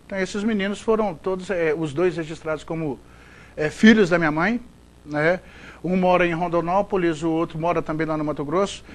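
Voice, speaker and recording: A man's voice: on the high side, fairly quick. A middle-aged man from Goiás with a Goiás Brazilian accent, a sports broadcaster giving chatty, rambling interview answers. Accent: Goiás Brazilian Portuguese. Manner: chatty, rambling, frequent fillers and restarts.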